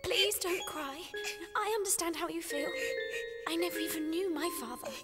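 A woman's voice: very high voice, moderate pace. An actress playing an earnest, kind-hearted teenage schoolgirl heroine in a horror video game. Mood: gentle, sorrowful. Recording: loud music under the voice.

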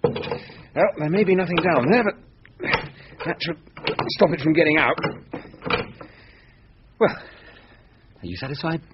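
An actor playing a sceptical, exasperated husband in a thriller radio drama, with a dry, sardonic, practical manner. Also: male voice, on the high side, lively intonation, takes it slowly, long pauses. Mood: brisk, dry. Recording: dull lo-fi radio recording, faint noise.

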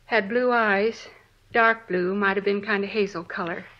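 Shaken, plain-spoken American housewife, played by an actress. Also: female voice, medium pitch, steady tone, normal speed, lightly acted. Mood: recalling. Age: 40s. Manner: plain-spoken, dropping sentence openings. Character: shaken, indignant forty-two-year-old housewife, crime victim. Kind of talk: 1950s radio drama acting. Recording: dull vintage radio recording.

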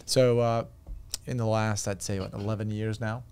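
A man in his thirties from Turkey with a Turkish accent, a podcast guest telling his story in relaxed, chatty fashion at a studio boom mic. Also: moderate pace, clean tone. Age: 30s. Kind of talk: casual interview chat. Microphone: studio boom mic with headphones.

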